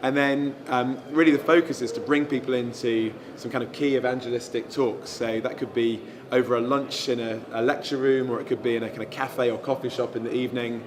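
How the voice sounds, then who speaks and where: A man who is a guest evangelist, answering interview questions in a relaxed, thoughtful way with frequent ums. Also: mid-range voice, fairly quick, hardly any pauses, a crowd in the background. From England.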